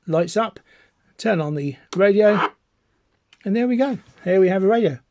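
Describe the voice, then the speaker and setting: A man's voice: sits high, lively intonation, normal speed, background noise. A British man giving an informal, chatty gadget demonstration as an enthusiastic, rambling hobbyist presenter. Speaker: amateur radio enthusiast presenting a video. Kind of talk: informal product demonstration to camera.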